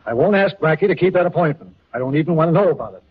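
Fast, husky, agitated businessman. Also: on the high side, lively intonation. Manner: agitated, earnest. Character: agitated, stubbornly loyal businessman in distress. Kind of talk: 1940s radio acting. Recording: tinny, muffled 1940s radio recording.

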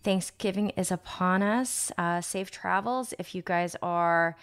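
A woman's voice: fairly low pitch, measured pace, fairly bright tone. A woman in her thirties co-hosting a podcast, chatting in an upbeat, casual way with many fillers. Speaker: podcast co-host. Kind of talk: casual chat.

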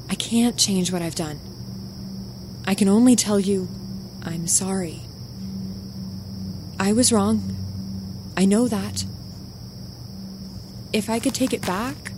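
An actress playing an anguished, fragile young ex-girlfriend in an audio drama, pleading in a hushed, halting way. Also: medium pitch, lightly acted. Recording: noisy, night insect ambience behind.

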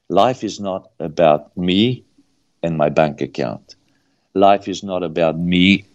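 Deep-voiced Romanian-accented man, earnest. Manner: earnest, repeats phrases for emphasis. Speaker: guest. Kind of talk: preaching-style interview answer.

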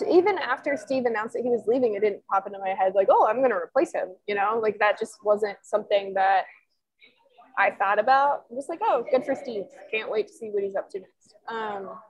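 A woman's voice: mid-range voice, moderate pace, low-quality recording. A woman in her twenties, a sports columnist, answering interview questions in a chatty, rambling way full of fillers. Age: 20s.

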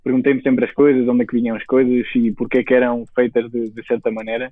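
A man's voice: medium pitch, flat delivery, quick pace, dry recording. A man in his twenties from Spain with a Spanish accent, speaking Portuguese as a guest entrepreneur, hesitant with many restarts. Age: 20s. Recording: tinny phone-like line.

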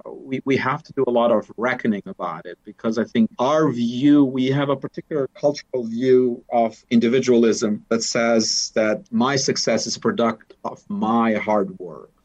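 Albanian-accented man, halting thoughtful talk. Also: mid-range voice, darkish tone, dull audio. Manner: thoughtful, many restarts and repeated words. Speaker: interview guest, professor. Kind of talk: conversational interview.

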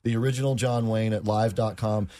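A man's voice: fairly low pitch, fairly even intonation, a little fast, mellow. A man hosting a radio talk show, interviewing a guest with frequent restarts.

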